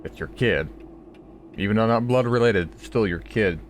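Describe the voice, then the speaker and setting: A man giving casual, rambling let's play commentary, halting as he goes and swearing casually. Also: fairly low pitch, slight background noise. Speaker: gaming video creator.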